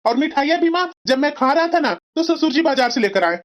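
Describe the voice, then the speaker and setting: Boastful cartoon son-in-law, played by a woman: very high voice, complaining manner, dull recording. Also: lively intonation, a little fast. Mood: whining complaint. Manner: complaining, smug. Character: fault-finding, boastful young husband and son-in-law. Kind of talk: cartoon family drama dialogue.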